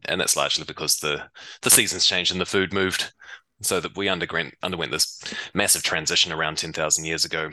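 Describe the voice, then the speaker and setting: Kiwi guy on a podcast, low voice, bright, super dry close sound. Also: dry recording, poor audio. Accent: New Zealand. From New Zealand. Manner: relaxed explaining, uses reckon and heaps. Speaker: startup co-founder and CEO guest. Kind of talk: podcast interview.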